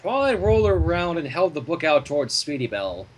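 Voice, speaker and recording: A man reading fan fiction aloud in an animated way, breaking off for joking banter. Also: a little high, fairly quick, poor audio. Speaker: fan fiction reader and narrator.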